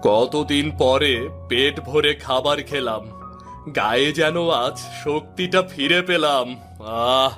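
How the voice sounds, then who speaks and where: A man plays a poor, weary village husband in a cartoon tale. His voice sits somewhat high for a man and sounds plaintive and remorseful. Soft music runs underneath. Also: slow. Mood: eager. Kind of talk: Bengali cartoon story voice acting.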